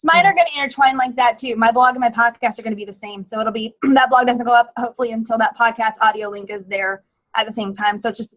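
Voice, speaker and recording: A woman's voice: a little high, fairly even intonation, fast, a bit bright. A woman co-hosting a casual group video call, talking in a chatty, rambling way with fillers. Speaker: co-host.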